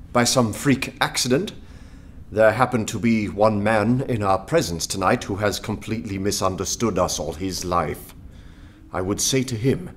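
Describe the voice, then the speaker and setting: An actor playing an anarchist poet in a radio play, making an earnest, nervous speech that pleads meekness. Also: male voice, on the low side, slight background noise, close to an everyday voice.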